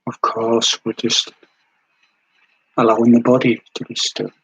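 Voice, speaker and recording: A man leading a guided meditation in a gentle, soothing, unhurried way, with long pauses between short phrases and a breathy edge to the voice. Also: medium pitch, monotone, no echo, rough recording.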